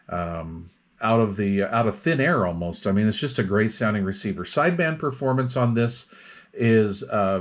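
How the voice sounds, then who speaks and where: A man talking through an unscripted product review in a casual, explanatory way, often pausing mid-phrase as he goes. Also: on the low side.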